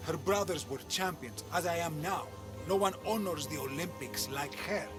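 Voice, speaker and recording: Man as a high-voiced, proud young athlete. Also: normal speed, music in the background, natural voice. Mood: concerned, loyal. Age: young adult. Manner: proud, earnest. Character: proud, devoted young Greek athlete son. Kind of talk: video game dialogue acting.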